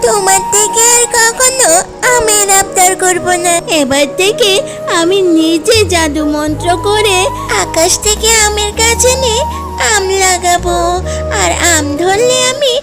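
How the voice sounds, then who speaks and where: A woman voicing an eager little girl in a children's cartoon, with a drawn-out, whiny, pleading delivery. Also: extremely high pitch. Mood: insistent. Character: eager little girl who adores mangoes. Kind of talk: children's cartoon story voice acting. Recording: loud background music.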